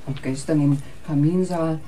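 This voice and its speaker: Woman playing a low, mellow caretaker's wife. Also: fairly even intonation, normal speed, natural voice, low-quality recording. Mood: everyday. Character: homely, busy wife of a castle caretaker. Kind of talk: German radio crime drama acting.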